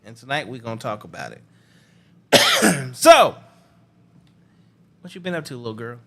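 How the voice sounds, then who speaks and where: A man's voice: mid-range voice, wildly swooping pitch, unhurried, gravelly, pushed and bright. A man hosting a casual talk show, hyped and teasing as he chats with his guest. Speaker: podcast host. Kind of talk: casual chat.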